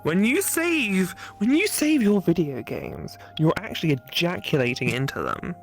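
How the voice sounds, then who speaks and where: A man's voice: sits high, very animated, normal speed, soft background music. A man guesting on a gaming stream, chatting casually with crude, mock-serious humour. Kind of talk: casual joking chat.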